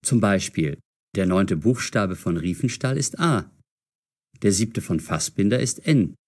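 A man playing an eager, puzzle-solving young amateur sleuth in an audio drama for language learners, speaking expressively and clearly. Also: fairly low pitch, animated, unhurried, a little mellow. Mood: reasoning.

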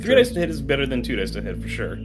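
A man's voice: medium pitch, wildly swooping pitch, rapid-fire. A man chatting casually and in slang as a player in a tabletop game. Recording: background music under the voice.

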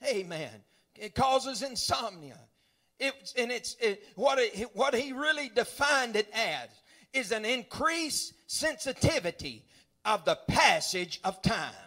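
A middle-aged man preaching a sermon in a folksy, rambling way, full of hallelujahs and rising to shouts. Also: very high voice, big pitch swings, takes it slowly. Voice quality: folksy, rambling, repeats words. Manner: building to shouts, frequent hallelujahs.

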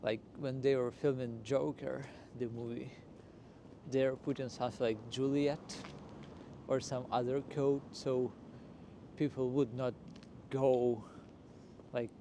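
A man hosting a walking tour in a casual, unscripted way, speaking in halting, broken phrases outdoors on a city street. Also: medium pitch, level tone, warm tone. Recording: noisy outdoor street with traffic. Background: street ambience and passing vehicles.

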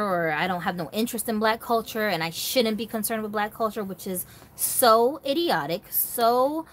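A woman's voice: mid-range voice, a bit bright, a little hiss or hum. A woman hosting a vlog, talking casually and emphatically to viewers, often checking that they follow her. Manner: emphatic, conversational, seeks agreement with rhetorical questions. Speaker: host of a doll collectors' channel.

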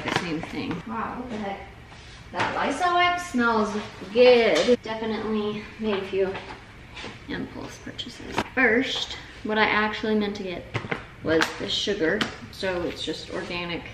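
A young woman with a light lilt rambles cheerfully about her groceries, with plenty of fillers and self-mocking asides. She is picked up by a camera set back on a kitchen counter, so her voice sounds distant and roomy. A steady hiss sits under it. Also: mid-range voice. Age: young adult. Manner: chatty, rambling, self-deprecating with lots of "like" fillers. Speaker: vlogger. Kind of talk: casual food vlog chat. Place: home kitchen, indoors. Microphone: camera on the counter, some distance away.

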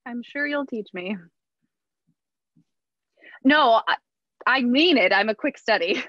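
A woman's voice: fairly high pitch, expressive, slow pace, fairly bright tone, dry recording, full character voice. A woman playing a polite, eager but bewildered new employee in a stage play reading, speaking hesitantly. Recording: muffled, dry video call.

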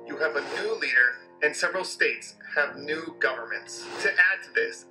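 A man narrating an online explainer video in an energetic, emphatic way, played back from the video. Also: on the high side, low-quality recording. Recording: played through speakers with background music.

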